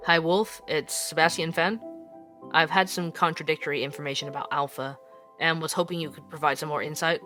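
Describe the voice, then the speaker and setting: An inquisitive male podcast investigator in an audio drama. His voice is bright and forward, his manner casual, sceptical and persistent. Faint music runs behind him. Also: fairly high pitch, fairly even intonation, brisk. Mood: businesslike, friendly. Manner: casual, probing. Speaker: podcast host and interviewer. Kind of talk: audio drama interview and narration.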